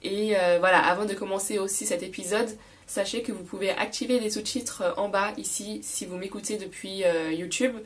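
A woman's voice: medium pitch, fairly even intonation, recorded from a distance. Stuffy-nosed woman teaching French. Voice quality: slightly hoarse. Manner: frequent fillers, repeats key words slowly. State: nasal, stuffy voice from a cold. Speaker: podcast host teaching French. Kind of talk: casual spoken lesson.

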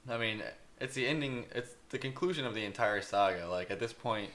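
A man on a podcast giving a casual, halting, unscripted game review. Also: mid-range voice, a bit bright.

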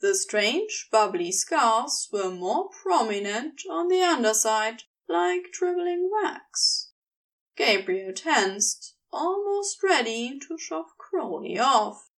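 A woman reads a story aloud in a high, creaky voice. Her narration is expressive and measured, with plenty of melodic movement. She sounds a little way off from the microphone. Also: distant mic, poor audio. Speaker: podfic reader.

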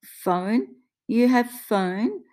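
A woman's voice: fairly high pitch, sing-song, extremely slow, a little mellow, pitched above the performer's own voice, heavily acted. A woman voicing a desperate woman in her eighties with an Italian accent, pleading in broken English and repeating her words. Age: 80s.

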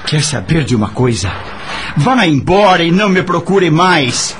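An actor playing a cold, bitter husband in a radio soap opera, with a harsh, dismissive manner. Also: male voice, on the high side, sing-song, unhurried, a bit bright, a little hiss or hum. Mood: cold, scornful. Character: cold, bitter husband disowning wife and baby.

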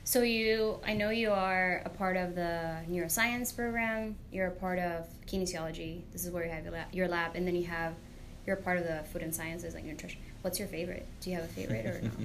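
A woman's voice: lowish voice, steady tone, a little fast, slight background noise. A woman hosting a podcast who reads a scripted guest introduction and then asks questions a little hesitantly. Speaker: podcast host.

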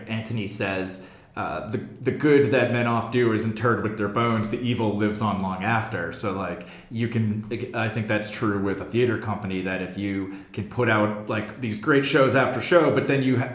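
A man hosting a podcast, talking casually in a panel discussion. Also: fairly low pitch. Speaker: podcast host. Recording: echoey room sound.

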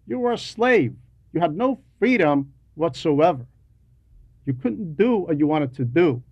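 A man delivering a teaching sermon in a deliberate, emphatic way, repeating key phrases for weight. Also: fairly high pitch, lively intonation, darkish tone, dry recording, dull audio. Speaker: homilist reading a translated homily.

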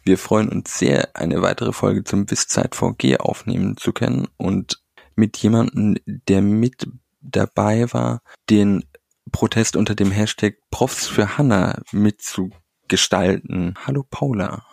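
Male podcast co-host: low, gravelly, husky, dry close sound, friendly and welcoming. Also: a little slow, a little mellow, no room sound. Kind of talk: podcast hosting, welcoming a guest.

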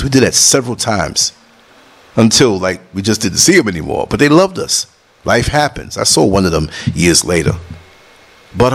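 A middle-aged man from New City, talking casually over concert footage in an emphatic, preachy way, swinging his voice up and down. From New York City. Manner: emphatic, preachy, jumps between high and low tones. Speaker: vlogger and podcast host.

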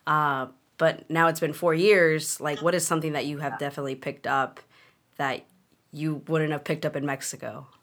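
A woman's voice: low voice, flat delivery, moderate pace, fairly bright tone. A woman hosting a casual podcast, asking guests questions with curiosity and easy laughter. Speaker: podcast host.

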